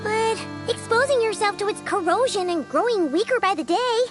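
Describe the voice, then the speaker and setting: Super high squeaky kid fairy, chatty n earnest, bouncy intonation, game music behind. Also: female voice, expressive, moderate pace. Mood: earnest, worried. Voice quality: squeaky, childlike. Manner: casual, plain-spoken. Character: tiny perky childlike fairy companion, chatty and earnest. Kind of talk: video game voice acting, scripted dialogue.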